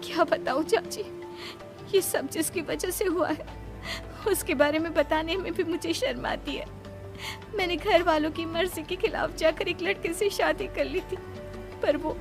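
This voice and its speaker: A woman acts out a young pregnant woman from a spooky cartoon tale. Her voice sits high, plaintive and confiding, as someone in distress. Music plays clearly behind her. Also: fairly even intonation, unhurried. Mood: pleading, ashamed. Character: distressed, pleading pregnant woman of twenty-six, horror cartoon.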